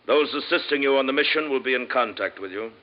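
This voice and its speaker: A man's voice: medium pitch, fast talker, natural voice. A man playing a solemn Martian council official, formal and ceremonious, in old-time radio style. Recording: dull, noisy old radio broadcast.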